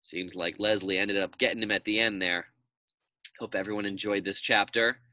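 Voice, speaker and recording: A man narrating a children's story aloud in an expressive storytelling style. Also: lowish voice, normal speed, dry recording.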